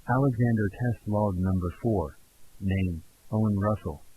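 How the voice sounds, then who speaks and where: Man acting as a low-voiced researcher dictating over hiss. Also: unhurried, mellow, natural voice, poor audio. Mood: formal, matter-of-fact. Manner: formal, clipped, report-like delivery. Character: male researcher dictating formal AI test logs. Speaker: narrator of fictional lab test logs. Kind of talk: scripted audio log, found-recording fiction. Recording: clear background hiss and hum.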